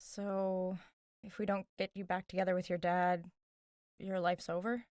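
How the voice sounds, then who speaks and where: A woman's voice: on the low side, little pitch movement, unhurried, a little mellow, smooth, dry recording, natural voice. A young American woman playing a wry, skeptical but loyal friend who questions directly in a naturalistic audio drama. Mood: incredulous, sardonic. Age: young adult. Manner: dry, sardonic, direct.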